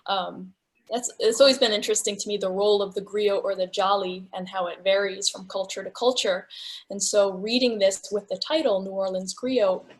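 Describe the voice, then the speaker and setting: A woman's voice: mid-range voice, fairly even intonation, moderate pace. A woman with a mid-range voice speaks in a group discussion. She is thoughtful and articulate, laying out her ideas as a reflective explanation. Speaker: book club discussion participant. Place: virtual discussion video call.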